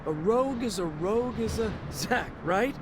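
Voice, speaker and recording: An actor playing a smug, mocking rival in a video game, with a drawling sneer. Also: male voice, sits high, lively intonation, moderate pace, noisy background.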